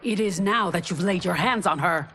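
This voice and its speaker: A woman's voice: fairly low pitch, normal speed, a little hiss or hum. A woman voicing a stern, protective royal bodyguard in a video game, formal and commanding. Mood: threatening. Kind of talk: video game cutscene acting. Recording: loud music behind the voice. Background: loud dramatic music.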